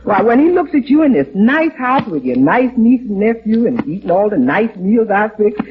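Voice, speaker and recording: A woman's voice: medium pitch, very animated, normal speed, breathy edge. A woman playing a good-natured, outspoken housekeeper in a 1940s radio comedy, folksy and motherly. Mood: sympathetic. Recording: tinny, noisy old radio recording.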